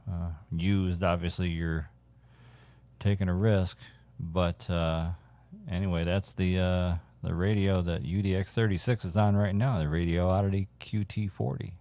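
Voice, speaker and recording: A man hosting a casual radio hobby chat, thinking aloud in a halting way. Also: sits low, steady tone, dark tone, no echo. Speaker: radio hobbyist host showing viewers CB radio gear.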